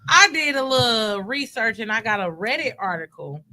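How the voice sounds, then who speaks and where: A woman's voice: fairly high pitch, very animated, moderate pace, sharp tone. A woman in her thirties hosting a podcast, chatting casually and animatedly, laughing often and poking fun at herself. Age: 30s. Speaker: podcast host.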